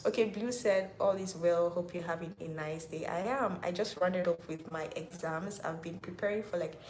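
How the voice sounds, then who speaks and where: A woman's voice: fairly low pitch, moderate pace, darkish tone, a little hiss or hum. A Nigerian woman in her twenties chatting casually on a livestream, reading viewer comments aloud and often saying "like". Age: 20s. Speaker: YouTuber hosting a live Q&A. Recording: roomy echo.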